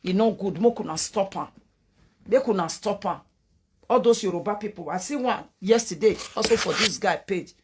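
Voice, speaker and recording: A woman from Edo State speaks with a Nigerian Pidgin accent in a middle-pitched voice. She gives reaction commentary and repeats herself as she pleads with her listeners. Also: normal speed, slightly rough. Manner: repetitive, earnest, pleading. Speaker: online commentator.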